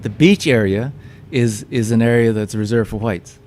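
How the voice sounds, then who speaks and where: A man speaking to a room at an ordinary middle pitch, talking through slides in a halting way. He often repeats a word or two before going on. Faint background noise sits under the recording. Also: darkish tone. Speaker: lecturer. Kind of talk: public lecture with slides. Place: meeting room with audience.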